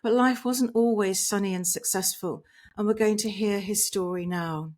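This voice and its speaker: A woman's voice: mid-range voice, normal speed, darkish tone. A woman hosting a podcast interview over a video call, giving a gushing introduction and leading the conversation. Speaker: podcast host. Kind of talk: online interview. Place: home in England.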